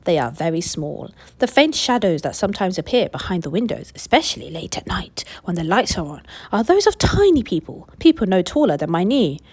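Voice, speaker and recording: A woman voicing an old grandfather who tells a tale in a steady, confiding way, in a storybook reading. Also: lowish voice, fairly quick, hardly any pauses, breathy edge.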